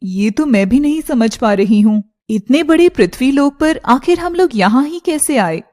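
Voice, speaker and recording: A woman's voice: sits high, expressive, moderate pace, darkish tone. A woman voicing a playful young serpent girl in a cartoon, chirpy and girlish. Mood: curious. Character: playful young female shape-shifting serpent girls.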